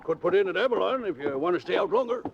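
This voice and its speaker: A man acting a hearty, deferential charter boat captain with folksy sailor talk and a gravelly voice, in a 1940s radio drama. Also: high-pitched, expressive, fast. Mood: cheerful. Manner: folksy nautical dialect, hearty. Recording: old muffled noisy 1940s radio recording.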